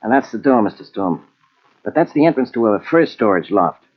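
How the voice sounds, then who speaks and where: Plain-spoken beat cop, muffled tape. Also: male voice, fairly low pitch, very animated, fairly quick. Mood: uneasy. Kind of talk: old-time radio mystery acting. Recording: dull, muffled old radio tape.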